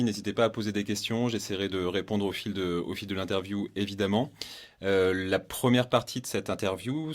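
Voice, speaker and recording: Male podcast host, low voice, easygoing and talkative, studio mic. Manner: upbeat intro, chatty, many fillers. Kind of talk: casual interview. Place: indoor studio with guests.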